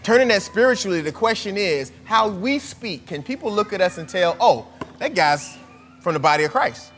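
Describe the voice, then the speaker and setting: A man's voice: high voice, expressive, moderate pace, a bit bright, in a large hall. A man preaching a sermon, conversational and full of rhetorical questions to the congregation.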